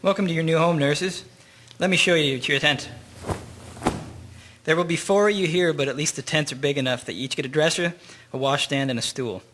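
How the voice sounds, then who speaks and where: Actor doing a helpful army guy, matter of fact, highish male voice, radio play. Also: a little fast, pauses often, fairly bright tone, a little hiss or hum, natural voice. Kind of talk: radio drama acting.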